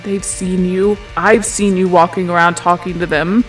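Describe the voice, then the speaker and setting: A performer playing an obsessive, secretive, wounded male genius in a hushed, intense, halting manner for a tabletop roleplay. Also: mid-range voice, level tone, soft background music, lightly acted. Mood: guarded, brooding.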